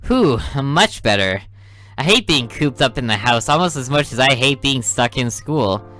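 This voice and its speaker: A man's voice: mid-range voice, animated, moderate pace, bright, forceful tone. A man reading as a bored, sneaky teen girl. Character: restless, sneaky teenage girl, detective's daughter.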